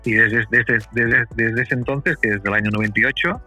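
A man's voice: mid-range voice, moderate pace, pushed and bright, husky, faint music behind. A man telling a story as a guest, rambling along with stumbling restarts. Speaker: guest photographer. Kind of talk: casual storytelling.

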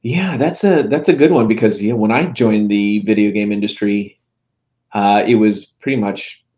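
A man in his fifties, a game studio head and guest, giving relaxed interview answers with many "uh" and "um" pauses. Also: lowish voice. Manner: halting, full of "uh" and "um" fillers.

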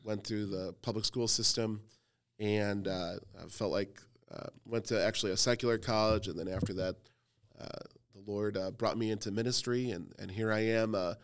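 Thirties guy from cleveland, youth pastor, low and smooth voice, rambly easygoing chat. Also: normal speed. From Cleveland, Ohio. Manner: relaxed and chatty, frequent fillers, self-deprecating humor. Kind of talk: casual podcast conversation.

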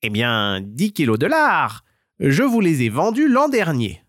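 A man's voice: on the high side, huge pitch swings, measured pace, a bit bright, no echo, clean studio sound, voice pushed up, full character voice. A man voicing a sly, dishonest jackal with oily, mock-courteous manners in a children's tale. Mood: falsely polite. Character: sly, dishonest male jackal, falsely polite.

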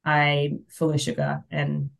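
A woman's voice: low voice, takes it slowly, vocal fry. An Australian woman chatting casually as a podcast guest, telling wry, exaggerated anecdotes and acting out the dialogue. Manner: animated anecdote teller who acts out dialogue, wry exaggeration. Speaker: podcast guest, fantasy author. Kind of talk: casual chat, humorous storytelling.

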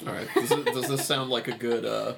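A man's voice: mid-range voice, wildly swooping pitch, brisk, vocal fry, a crowd in the background. A man playing in a tabletop game, putting forward scene ideas in an easygoing way. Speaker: player. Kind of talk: casual tabletop game chat.

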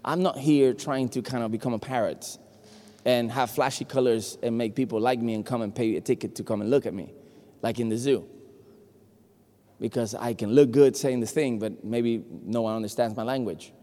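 A man from Puerto Rico, Spanish his first language, with a Puerto Rican accent, preaching an animated, joking sermon to a congregation. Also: mid-range voice, normal speed. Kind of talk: lively sermon. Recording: long hall reverb.

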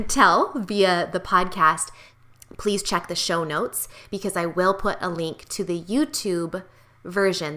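A woman podcast host with a high-pitched voice, introducing an episode and interviewing a guest. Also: a bit bright. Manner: friendly, encouraging, says mm-hmm often.

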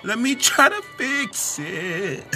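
A man with a raspy, scratchy voice freestyles, half singing and half rapping in slow, drawn-out lines with loose ad-libs. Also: very high voice. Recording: loud backing music under the voice.

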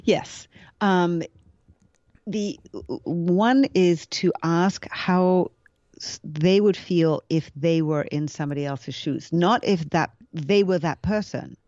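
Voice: mid-range voice, slow, darkish tone, no echo, muffled recording. A guest explaining ideas through examples, with frequent hesitations and restarts. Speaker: interview guest. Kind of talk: explanatory conversational talk.

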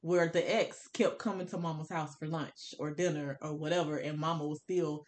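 A woman's voice: sits low, fairly even intonation, moderate pace, darkish tone, slight background noise. A woman co-hosting a podcast, casually discussing books in a candid, opinionated way with blunt, colloquial phrasing. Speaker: podcast co-host.

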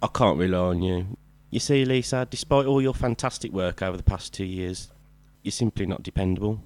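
Man playing stern, low-voiced British manager. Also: a little fast, a little mellow, no echo, lightly acted. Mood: stern, reproachful. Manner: firm, lecturing. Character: stern, reproachful male shop manager. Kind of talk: audio drama acting.

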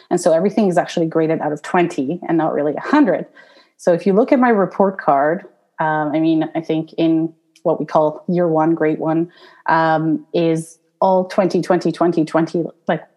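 A woman's voice: deep voice, mellow. A woman with an Iranian accent, a podcast guest talking casually and laughing often between fillers. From Iran. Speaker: guest, tutoring company founder. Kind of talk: casual interview talk.

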